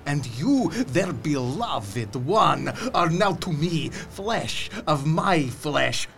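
A man playing an ancient, menacing vampire count, speaking slowly and threateningly. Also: fairly high pitch, animated, vocal fry, fairly bright tone, soft background music, exaggerated voice. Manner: slow, drawn-out, threatening.

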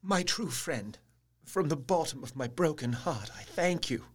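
A man playing a heartbroken, noble young gentleman in a radio play, speaking earnestly and formally with emotion. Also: a little high, lively intonation, a little slow, a little hiss or hum. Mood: grieving, resolute.

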